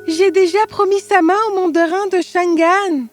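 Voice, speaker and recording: A very high-pitched old mother, put on by a woman, kindly and resigned. She sounds like an anxious storybook elder, with music underneath. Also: fairly even intonation, normal speed. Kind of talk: fairy tale character acting. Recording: music behind the voice.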